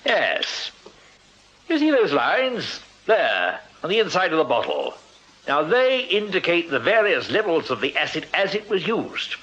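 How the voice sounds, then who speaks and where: An actor playing an eager, blustering retired Victorian army major in a radio drama, with a hearty upper-class English delivery. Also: male voice, fairly high pitch, wildly swooping pitch, a little slow, fairly bright tone, slight background noise, heavily acted. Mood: hearty, confiding. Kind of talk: radio period drama acting. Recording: slightly dull radio drama recording.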